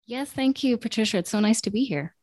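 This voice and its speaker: A woman's voice: a little high, animated, a little fast, darkish tone, no room sound. A woman in her late twenties, raised between Italy, the US and Ireland, answering interview questions in a chatty way with many ums and self-corrections. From born in Italy, raised in Italy, the US and Ireland. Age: late 20s. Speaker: guest, translator and radio journalist.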